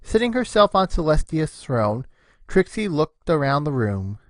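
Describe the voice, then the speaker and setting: A woman giving an expressive, scripted narration of a story. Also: deep voice, a little slow, no echo.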